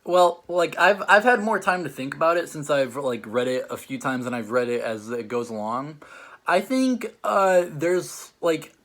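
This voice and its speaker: Higher-voiced young-sounding man rambling casually. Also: lively intonation, measured pace, a bit bright. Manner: rambling, hesitant, filled with "like". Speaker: host and judge of a challenge show. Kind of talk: casual chat, reviewing stories.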